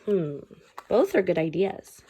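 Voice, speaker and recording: A woman reading a children's story aloud, pausing to explain things simply to her listeners. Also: fairly low pitch, very animated, warm tone, slightly rough. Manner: pauses to explain words and ask listeners questions.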